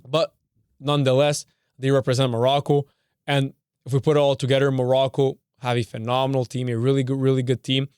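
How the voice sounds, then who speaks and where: A man's voice: medium pitch, flat delivery, a little fast, darkish tone, clear, resonant tone, no echo, clean studio sound. A young man from Canada whose first language is Serbian, hosting a podcast in a rambling way, often correcting himself and swearing casually. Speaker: podcast host. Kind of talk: casual football talk.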